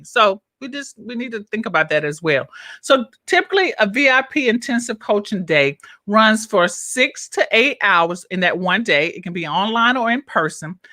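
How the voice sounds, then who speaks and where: A woman's voice: mid-range voice, bright, forceful tone, no room sound. A woman coach giving a casual live-streamed talk, chatting straight to her viewers and reading out their comments as she goes. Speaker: business coach. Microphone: own microphone, checked on her phone.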